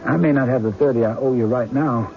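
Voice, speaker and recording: An actor playing an earnest, hard-up gambler with a plain folksy way of talking in an old-time radio drama. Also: male voice, mid-range voice, fast, slight background noise, close to an everyday voice. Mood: pleading, defensive. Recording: dull lo-fi radio recording with music.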